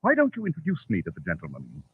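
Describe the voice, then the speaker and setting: A man's voice: lowish voice, wildly swooping pitch, rapid-fire, husky, exaggerated voice. An actor in an old radio drama playing a shrewd, aloof, sardonic man, speaking in a clipped, coolly precise way. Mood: cool, dry. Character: shrewd, aloof, sardonic male detective posing as a crook. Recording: tinny lo-fi vintage radio recording.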